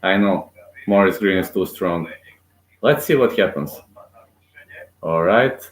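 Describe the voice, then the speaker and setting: Brief: male fan commentator, lowish voice, excitable play-by-play, roomy echo. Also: slow pace. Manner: excitable, rooting aloud, frequent fillers. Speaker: amateur fight commentator. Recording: echoey room.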